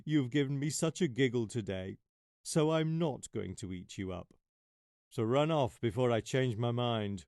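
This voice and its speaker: A man voicing a big, grumpy male lion who growls slowly. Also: medium pitch, darkish tone, dry recording, clean studio sound, lightly acted. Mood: amused. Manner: growling, slow and gruff. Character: huge grumpy male lion, king of the jungle.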